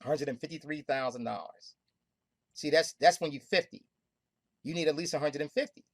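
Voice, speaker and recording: A woman hosting a group call, pitching hard with emphatic, repetitive, preaching talk. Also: moderate pace, no echo. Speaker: host of a money club call.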